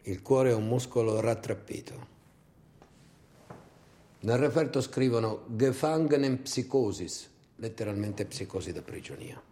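A man's voice: medium pitch, unhurried, warm tone, breathy edge. A man performing a dramatic war monologue as a theatrical, bitterly ironic storyteller. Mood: bitter irony. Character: bitter, sardonic male storyteller of war captivity.

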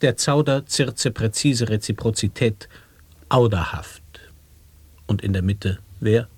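A man performing experimental sound poetry in a studio radio play, with slow, deliberate, syllable-by-syllable delivery. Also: fairly low pitch, a little mellow, breathy edge, dry recording. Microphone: studio microphone.

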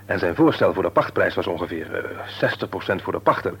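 An actor playing a businesslike, helpful husband in a Dutch radio drama. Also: male voice, low-pitched, animated, fairly quick, fairly bright tone, slightly rough, exaggerated voice. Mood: thoughtful. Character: businesslike husband pondering plans, eager to help.

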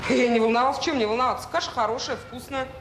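A woman's voice: on the high side, fast, roomy sound, noisy background, natural voice. An actress playing an overworked, touchy woman cook in a Soviet radio drama, blunt, defensive and aggrieved.

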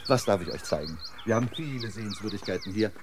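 A man acts an eccentric tour guide of a crumbling city in a German radio play. His lowish voice swings widely as he rambles on with quirky, effusive hospitality. There is faint noise behind him. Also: animated, fast talker, natural voice. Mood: boastful, amused. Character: chatty eccentric male local guide in a decayed city. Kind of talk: German radio drama acting.

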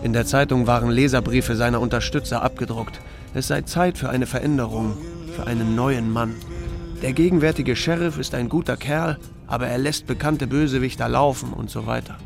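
An actor playing a laconic, unhurried country sheriff in a radio drama, dry in dialogue and narration. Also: male voice, medium pitch, creaky, music in the background, natural voice. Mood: matter-of-fact.

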